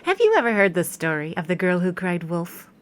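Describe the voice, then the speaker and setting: A stern schoolteacher played by a woman, sceptical and clipped. Her low voice rises and falls with dry disapproval, in radio-play style. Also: big pitch swings, brisk. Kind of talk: audio drama acting.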